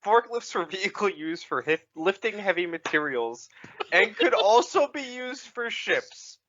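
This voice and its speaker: A sweary podcast co-host in casual chat, reading snippets aloud. The middling-pitched voice is animated, and the audio is a little lo-fi.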